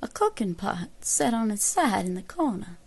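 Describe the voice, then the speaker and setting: Old southern lady from the 1800s, grim, gravelly and husky, telling her story slow and folksy. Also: medium pitch, big pitch swings. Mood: grave, tense. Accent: American South. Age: older. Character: grim elderly Mississippi-born widow-like frontier woman giving testimony. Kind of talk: dramatic first-person period account.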